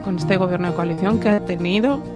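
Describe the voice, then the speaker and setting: A woman's voice: on the low side, brisk, loud music behind the voice. A woman in her fifties from Madrid, answering interview questions as a political candidate, often repeating words and restarting her phrases. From Madrid, Spain. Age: 50s.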